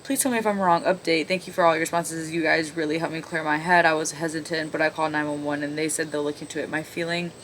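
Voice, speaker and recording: A woman with a fairly low voice reads online stories aloud in a casual way. She adds blunt, sarcastic asides and swears freely. There is noticeable noise behind her. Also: level tone, quick pace, few pauses, fairly bright tone. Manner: blunt, profane, sarcastic interjections. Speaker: video host reading online stories aloud. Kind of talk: casual commentary while reading stories aloud. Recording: clearly audible background noise.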